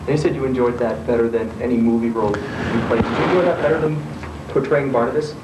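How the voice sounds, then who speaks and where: A man's voice: medium pitch, fast talker, far from the mic. A man working as an interviewer, putting short questions to a guest on an old videotape. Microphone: distant from the microphone. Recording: noisy, echoey old videotape, off-mic.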